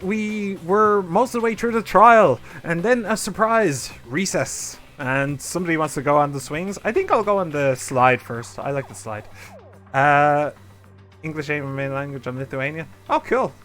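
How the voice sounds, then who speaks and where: A man's voice: high-pitched, expressive, moderate pace, a bit bright. A man streaming a game, chatting casually and rambling as he talks to his viewers. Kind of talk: casual let's play commentary. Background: game music.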